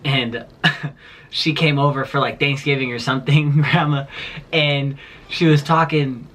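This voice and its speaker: A man's voice: medium pitch, steady tone, measured pace, fairly bright tone. A man in his twenties telling a childhood story in a casual vlog, rambling with lots of fillers and laughing as he goes. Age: 20s. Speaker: vlogger.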